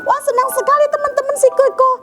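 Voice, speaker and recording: Very high-voiced woman telling a children's story. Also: level tone, quick pace, fairly bright tone. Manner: interactive, checks in with the listeners. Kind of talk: animated storytelling addressed to young children. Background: music.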